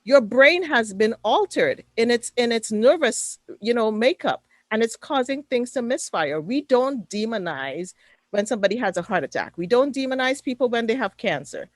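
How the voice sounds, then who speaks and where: A woman's voice: a little high, expressive, normal speed, no echo. Female panelist, Trinidadian accent, emphatic preacher cadence, dry video-call audio. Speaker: panelist, mental fitness coach. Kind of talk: webinar panel discussion.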